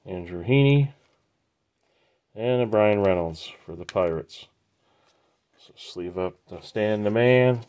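A man hosting a trading card break, casually naming each card as he opens the packs in an unhurried, matter-of-fact way. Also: lowish voice, darkish tone. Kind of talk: casual pack-opening commentary.

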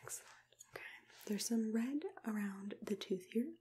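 A young woman whispering breathily and gently, reassuring the listener as she murmurs step-by-step in an ASMR dentist roleplay. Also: medium pitch, moderate pace. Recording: clear background noise with clicking tool sounds. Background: noise, clicks of dental tools.

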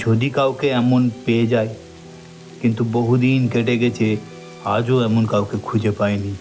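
A man's voice: medium pitch, darkish tone, lightly acted. A man voicing a cursed prince turned tiger, an earnest and grateful friend, in a formal cartoon storybook manner.

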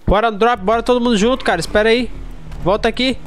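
High-pitched Brazilian gamer man. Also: fairly even intonation, quick pace, a little hiss or hum. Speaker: gaming video creator. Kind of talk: casual gameplay chat with friends.

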